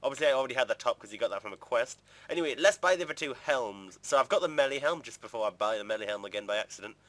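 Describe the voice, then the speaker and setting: A man's voice: medium pitch, animated, very fast, a bit bright, no room sound. A man giving casual, chatty gameplay commentary in an informal, rambling way, as a video creator would.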